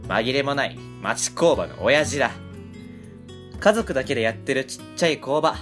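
A woman playing an easygoing 39-year-old male factory owner with a Kansai accent, a low folksy voice, narrating and chatting in an amateur web radio drama. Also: lively intonation, normal speed, soft background music. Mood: reflective. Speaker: narrator and lead character.

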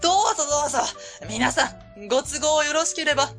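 A woman's voice: high-pitched, animated, quick pace, fairly bright tone, faint music behind. A woman playing a cheerful, welcoming small-factory boss, friendly and polite, in a radio drama.

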